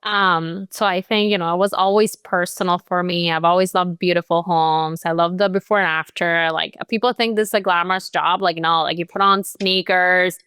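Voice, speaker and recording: A young woman from Colombia talks through her career as an interview guest, her voice smooth and clear. She chats easily, filling her talk with "like" and "you know". The sound is close and dry. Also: lowish voice, steady tone, moderate pace, few pauses, a bit bright, dry recording. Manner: chatty, many "like" and "you know" fillers. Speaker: guest, real estate agent. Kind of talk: casual interview chat.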